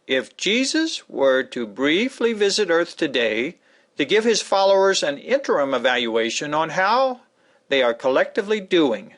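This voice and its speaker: A man narrating a scripted introduction to a dramatic book reading, with emphatic, deliberate phrasing. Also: on the high side, a bit bright.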